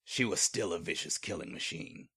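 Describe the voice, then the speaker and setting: A man playing a grave, ominous narrator of a horror audio drama, theatrical and gravelly. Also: low voice, normal speed, pushed and bright, exaggerated voice.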